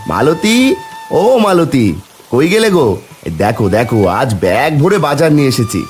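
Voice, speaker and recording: A man voicing village menfolk in a cartoon, speaking in an animated, homely way. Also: mid-range voice, normal speed, soft background music, close to an everyday voice. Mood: cheerful. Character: village men: concerned headman and eager helpers. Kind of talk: cartoon character dialogue.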